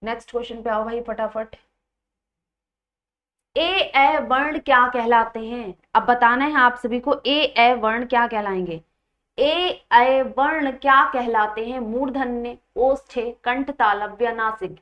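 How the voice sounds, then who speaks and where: A woman with a fairly high-pitched voice teaches an exam-preparation class. She explains in an instructional manner, putting questions to her students and repeating the key points. The audio is somewhat dull and lo-fi. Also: measured pace. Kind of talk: Hindi grammar lesson, explaining quiz questions.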